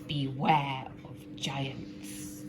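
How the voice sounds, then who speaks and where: A woman narrating a children's story expressively, with deliberate, dramatic emphasis. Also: a bass voice, little pitch movement. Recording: noisy amateur recording, clear background noise.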